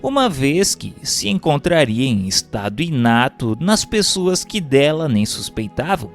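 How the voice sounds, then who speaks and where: A man reading a book aloud in a formal, measured, rhetorical manner, like scripted audiobook narration. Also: mid-range voice, very animated, soft background music.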